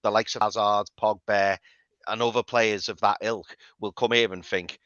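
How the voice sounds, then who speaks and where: Bright-voiced man, dull dry sound. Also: fairly low pitch, steady tone, moderate pace, clear, resonant tone, no room sound. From Liverpool, England. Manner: agreeable, earnest, easygoing. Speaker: podcast co-host. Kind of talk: casual fan chat.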